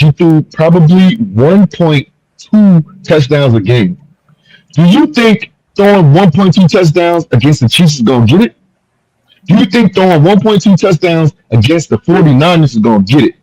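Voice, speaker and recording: A man's voice: fairly high pitch, darkish tone, no room sound, poor audio. A man hosting a casual sports podcast, needling his guests and hammering home the same point over and over. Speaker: podcast host.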